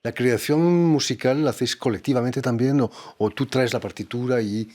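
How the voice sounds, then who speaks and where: A man's voice: mid-range voice, steady tone, fairly quick, darkish tone. A man working as a radio interviewer, asking questions in a conversational arts interview.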